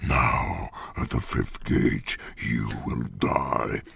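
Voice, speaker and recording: A man with an exceptionally deep, crackling voice talks and performs at a slow pace. He is a voice actor, recorded with clear room noise behind him. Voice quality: very deep gravelly voice. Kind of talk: casual talk and performed game lines.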